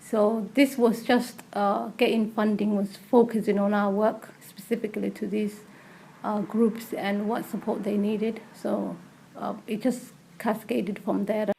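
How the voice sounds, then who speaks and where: Woman, hesitant, many fillers, gravelly, mellow tone. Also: mid-range voice, level tone, a little slow, slight background noise, low-quality recording. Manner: hesitant, with frequent fillers and restarts. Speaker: community support worker. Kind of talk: recorded interview.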